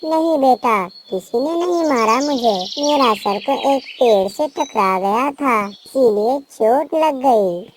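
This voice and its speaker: A meek cartoon mother bird, played by a woman, speaks slowly in a fairly high, patient, pleading voice. Faint birdsong noise is behind her. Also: expressive. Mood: soothing, sad. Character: meek, hardworking mother bird, gentle and principled.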